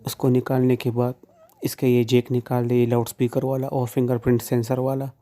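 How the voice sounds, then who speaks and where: Flat, monotone man giving instructions. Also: mid-range voice, fairly quick, soft background music. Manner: plain, informal, addresses viewers directly. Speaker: phone repair tutorial presenter. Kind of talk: instructional step-by-step how-to narration.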